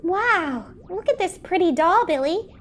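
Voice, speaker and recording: Sweet homesick small girl, high-pitched, over faint music, comic audio play. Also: expressive, unhurried. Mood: wistful. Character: sweet, wistful, homesick little Earth girl. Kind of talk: comic audio drama acting.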